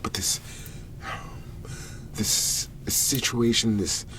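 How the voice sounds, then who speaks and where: A man playing a weary, desperate cleanup agent in an ASMR roleplay, pleading in halting, breathy whispers and murmurs. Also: fairly low pitch, steady tone, a bit bright, slight background noise. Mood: anxious, pleading.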